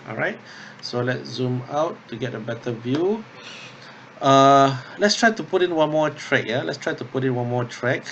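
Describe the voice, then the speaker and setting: A man instructor talking through an unscripted tutorial step by step, thinking aloud haltingly and often checking in with okay or yeah. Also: medium pitch, animated, fairly bright tone, dull audio, slight background noise. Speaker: drama workshop instructor.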